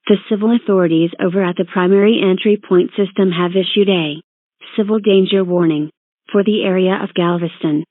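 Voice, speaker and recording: A woman reading a scripted emergency broadcast announcement in a formal, measured, synthetic-sounding way. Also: fairly low pitch, steady tone, no room sound. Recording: narrow tinny phone-like band.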